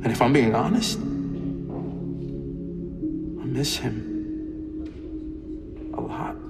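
An actor playing a grieving young man in an audio drama, speaking earnestly and haltingly as he confesses his love. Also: medium pitch, creaky. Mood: grieving, regretful. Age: young adult. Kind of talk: audio drama acting, halting heartfelt speech. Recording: echoey hall reverb, music behind.

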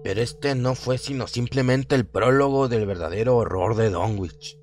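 A man narrates a horror tale in the manner of a radio drama. His voice sits at a medium pitch for a male narrator. Atmospheric music plays prominently behind him. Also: normal speed, darkish tone. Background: atmospheric music and sound effects.

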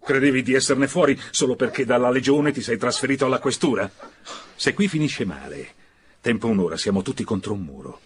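A man's voice: mid-range voice, animated, brisk, slight background noise, natural voice. An actor playing a cynical, defiant Fascist militiaman in a radio drama, vehement and conspiratorial. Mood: defiant, sardonic.

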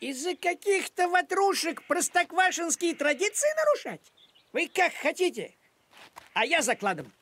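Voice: extremely high pitch, sing-song, normal speed, a bit bright, full character voice. An actor voicing a proud, grumpy, bossy talking tomcat in a children's cartoon, huffy and pompous in manner. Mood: smug, stubborn. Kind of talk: animated children's cartoon acting.

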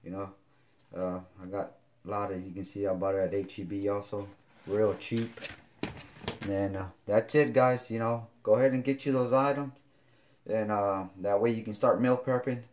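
A man in his forties with a fairly low voice talks through his kitchen gear in a loose, halting way, full of fillers and restarts. He is recorded by a camera on the counter of his home kitchen. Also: fairly even intonation, darkish tone, a little hiss or hum. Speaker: fitness vlogger. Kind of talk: casual product demo.

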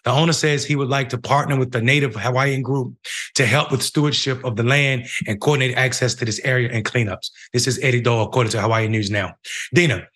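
A middle-aged man with an American accent hosting a news show, reading and commenting in a halting way with repeated phrases. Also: medium pitch, fairly even intonation, fairly bright tone. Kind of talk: news commentary, reading a report and giving opinion. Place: home room, indoors.

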